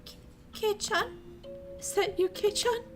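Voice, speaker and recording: A woman voicing a terrified teenage girl locked in a cell, pleading in a shrill, frantic voice. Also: slow pace, soft background music. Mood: startled.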